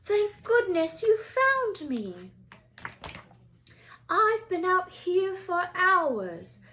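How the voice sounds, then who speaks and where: A woman's voice: very high voice, sing-song, extremely slow, sharp tone, a little hiss or hum, voice pushed up, full character voice. A woman voicing a timid, forlorn schoolboy in a storybook, plaintive and pleading. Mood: relieved, whiny.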